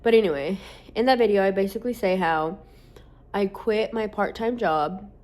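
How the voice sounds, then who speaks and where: A woman in her late twenties chats straight to her audience in a loose, rambling way. She fills her talk with "like" and drops casual swear words. Her pitch sits in the middle of the usual range for a woman. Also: moderate pace, darkish tone. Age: late 20s. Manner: rambling, candid, sprinkled with swearing and "like". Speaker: social media creator. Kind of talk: casual vlog chat.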